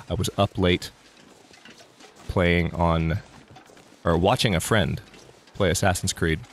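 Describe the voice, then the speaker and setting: A man's voice: sits low, fairly even intonation, slow pace, a little hiss or hum. A man casually narrating his own gameplay as a let's play host, thinking aloud with restarts, sounding slightly congested.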